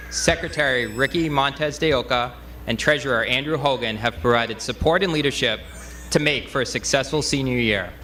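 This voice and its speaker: A man's voice: medium pitch, bright, forceful tone. A man, a school official, formally introducing speakers at a ceremony.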